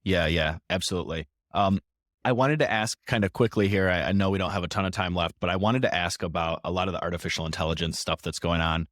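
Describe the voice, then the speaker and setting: Deep-voiced man hosting a casual interview. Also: steady tone, quick pace, a bit bright, no echo, studio quality. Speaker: interviewer, host.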